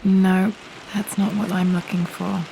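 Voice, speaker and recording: A woman's voice: medium pitch, level tone, a little slow, vocal fry, mellow, slight background noise, low-quality recording. An actress playing a young, determined woman archaeologist in a video game, with an English accent, murmuring reflectively to herself. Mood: puzzled.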